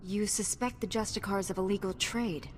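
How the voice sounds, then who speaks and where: A woman playing a calm, earnest knightly heroine in a video game, speaking in a composed, formal manner. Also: medium pitch, normal speed, natural voice. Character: calm, earnest female Jedi knight hero. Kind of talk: video game character dialogue. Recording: reverberant hall with background noise.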